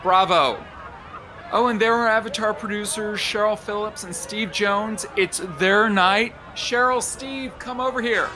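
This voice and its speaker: An actor playing an effusive, arch theater gossip reporter in an audio drama, gushing like a red-carpet commentator. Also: male voice, high-pitched, measured pace, pushed and bright, a faint crowd. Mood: gushing, thrilled. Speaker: entertainment reporter.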